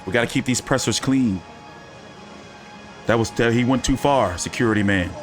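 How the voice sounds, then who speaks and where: A man's voice: medium pitch, moderate pace, soft background music. A man hosting a casual fight-commentary live stream, talking in loose, profane, joking banter. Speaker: boxing commentary host.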